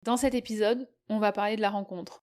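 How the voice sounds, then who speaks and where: A woman's voice: medium pitch, fairly even intonation, fairly quick, darkish tone. A French woman from southeast France talking casually in a podcast, friendly and conversational, with frequent hesitations. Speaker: podcast host.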